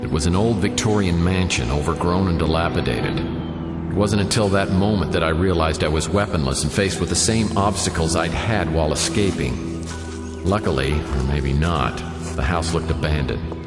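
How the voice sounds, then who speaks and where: A man's voice: sits low, moderate pace, loud music behind the voice. An actor playing a wisecracking hard-boiled male private detective, narrating with dry sarcasm. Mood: wry. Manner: dry, sardonic. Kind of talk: noir first-person radio narration. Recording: echoey with long reverb.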